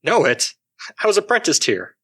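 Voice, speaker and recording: A woman playing a grumpy, bewildered old man in an audio drama, with gruff, blustering delivery. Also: lowish voice, wildly swooping pitch, moderate pace, heavily acted. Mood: delighted.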